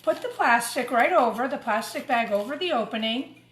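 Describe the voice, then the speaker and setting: A woman's voice: on the high side, expressive, normal speed, a bit bright. A woman teacher giving an informal craft demonstration for young children, talking through each step as she works. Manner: talks through each step while working. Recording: roomy echo, clear background noise and clatter.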